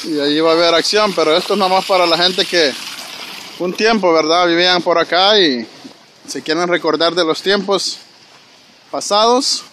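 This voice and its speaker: A man's voice: a little high, sharp tone. Chatty man vlogging on a snowy street. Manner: chatty, addresses viewers directly, frequent "¿verdad?". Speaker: vlogger walking and filming. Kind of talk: casual walking vlog narration. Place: snowy city street outdoors. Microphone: handheld phone camera. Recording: outdoor street noise, passing traffic.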